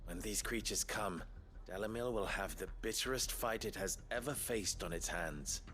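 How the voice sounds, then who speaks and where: A man playing a composed, authoritative town leader in a video game, speaking in formal, measured phrases. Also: on the low side, fairly bright tone, a little hiss or hum, lightly acted. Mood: grave, resolute. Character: composed adult male town leader rallying defenders, authoritative. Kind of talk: dramatic video game dialogue.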